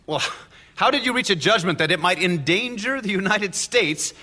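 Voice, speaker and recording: A relentless cross-examining lawyer. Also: male voice, high voice, lively intonation, normal speed, pushed and bright, full character voice. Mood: pressing. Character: sharp, relentless male defense lawyer. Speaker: newspaper's defense lawyer. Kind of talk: courtroom radio drama.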